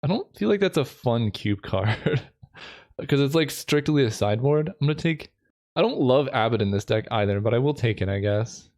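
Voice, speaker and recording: A man with a hoarse voice, casually commenting to himself as he drafts cards for a video, thinking aloud with lots of fillers. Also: medium pitch, lively intonation, normal speed, darkish tone. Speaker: gaming video creator. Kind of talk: casual commentary while drafting a card game.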